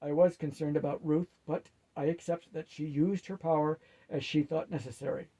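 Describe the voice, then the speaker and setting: A commanding lord of the dead performed by a man reading a storybook. He speaks loudly and deliberately with full authority, in a raised, husky voice. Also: on the high side, steady tone, close to an everyday voice, rough recording. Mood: stern, authoritative. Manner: authoritative, loud, deliberate pronouncements.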